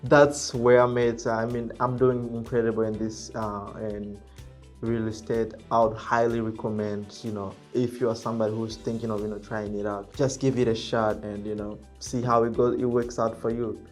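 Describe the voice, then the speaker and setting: A real estate agent vlogging to camera in English, which is not his first language. He is reserved and soft-spoken and speaks haltingly, with many fillers and self-corrections. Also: on the low side, little pitch movement, faint music behind. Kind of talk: casual talking-to-camera vlog.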